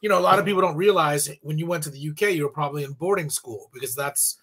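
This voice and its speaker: A man's voice: a little high, fast talker, fairly bright tone, slightly rough. A man hosting a casual interview over a video call from a room at home, chatty and enthusiastic. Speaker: interview host.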